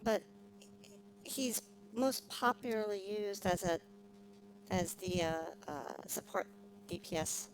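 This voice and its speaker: A woman streamer giving casual live game commentary, thinking aloud with frequent pauses. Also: mid-range voice, a little hiss or hum, low-quality recording. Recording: music behind the voice.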